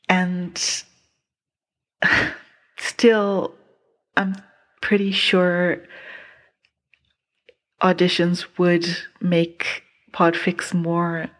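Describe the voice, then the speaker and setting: A woman with a non-American accent records informal feedback as a listener. She speaks very slowly and haltingly, in a somewhat low voice, repeating words as she goes. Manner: hesitant, halting phrasing with repeats. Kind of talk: informal spoken commentary.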